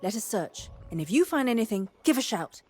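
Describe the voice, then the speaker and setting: A woman's voice: fairly high pitch, big pitch swings, fairly quick, darkish tone, soft background music. An actress voicing an eager woman explorer and scholar in a video game, speaking in a formal, declamatory and enthusiastic way. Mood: scholarly curiosity. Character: eager woman explorer-scholar, awed guide to wondrous ruins.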